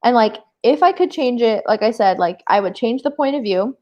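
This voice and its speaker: A woman with a fairly high voice talks casually as a co-host of a live book show. She is animated and emphatic, phrasing things in a loose, chatty way with plenty of "like". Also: fairly quick, highs cut off.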